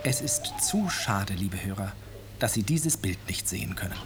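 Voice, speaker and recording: Over a noisy, clicking old recording comes a male actor as an urbane radio-play narrator. He is measured and polite, drily ironic and probing, with strongly modulated pitch. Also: expressive, lightly acted. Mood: ironic, narrating. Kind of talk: 1950s radio drama narration.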